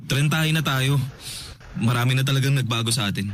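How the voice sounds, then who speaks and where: A man's voice: mid-range voice, brisk, a little hiss or hum, natural voice. An actor playing a shy, eager man in his thirties who stammers nervously and laughs things off in a radio drama. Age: 30s.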